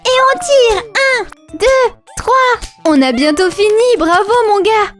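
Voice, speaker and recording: A woman voicing a playful, boastful young lion cub in a children's cartoon, with an excitable, cheeky, singsong delivery. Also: very high voice, slow, exaggerated voice. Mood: boastful, excited. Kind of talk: children's cartoon voice acting in rhymes. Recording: music playing behind the voice.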